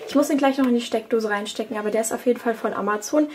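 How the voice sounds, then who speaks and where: A woman's voice: a little high, rapid-fire, a little mellow, slight background noise. A young woman from Germany chatting casually as she talks through her routine at her desk at home, with fillers and self-corrections. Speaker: beauty vlogger. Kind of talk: casual tutorial chat.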